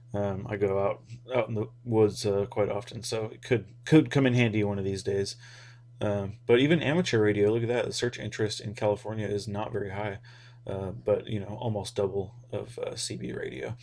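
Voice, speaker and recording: A man's voice: lowish voice, darkish tone. An American man giving a casual, rambling explainer in an informal, hesitant way, as if thinking aloud. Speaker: hobby radio video host.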